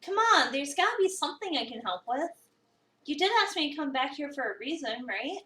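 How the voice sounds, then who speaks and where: A woman reading a visual novel as an eager, awkward new cafe employee, chatty, expressive and self-deprecating. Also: high voice, a little fast. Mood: earnest. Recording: roomy, a bit far from the mic.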